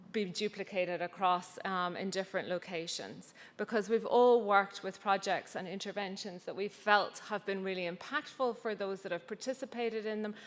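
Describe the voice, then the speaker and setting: A woman researcher giving a conference talk, chatty and self-deprecating, with frequent ums. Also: medium pitch. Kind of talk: conference presentation. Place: conference hall. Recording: long reverb of a conference hall.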